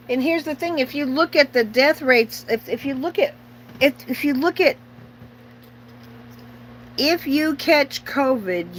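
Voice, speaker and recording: A woman's voice: on the high side, a bit bright, slight background noise, rough recording. A middle-aged woman chatting casually on a livestream as host, rambling and opinionated, bluntly repeating herself for emphasis.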